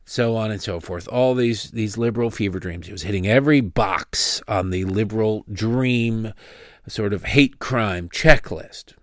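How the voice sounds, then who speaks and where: Male host, mocking political commentary, sarcastic asides, dry booth sound. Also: no room sound. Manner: mocking, sarcastic, animated pitch swings.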